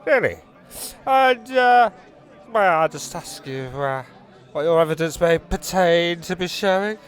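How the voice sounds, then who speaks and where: Swaggering eccentric pirate farmer, drawn-out speech, very lively pitch, mock-polite, radio comedy. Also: male voice. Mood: mock-innocent. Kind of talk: radio comedy drama parody.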